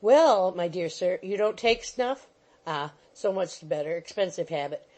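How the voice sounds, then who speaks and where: A woman's voice: on the low side, lively intonation, moderate pace, mellow, natural voice. A woman voicing a fussy, bustling little male attorney who coaxes and keeps saying "my dear sir". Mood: wheedling. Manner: coaxing, repeats "my dear sir".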